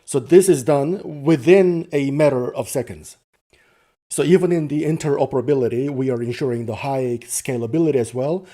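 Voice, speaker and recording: A man's voice: medium pitch, mellow. A man narrating an unscripted technical demo, explaining each step and often rephrasing himself as he goes. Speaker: presenter demonstrating software.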